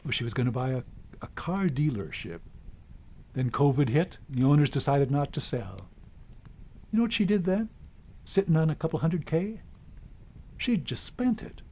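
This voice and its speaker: A man's voice: fairly high pitch, animated, moderate pace, pauses often, a little mellow. An actor playing a cranky, world-weary old man in the woods, with a folksy, grumbling manner, in a radio drama. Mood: bitter, grumbling.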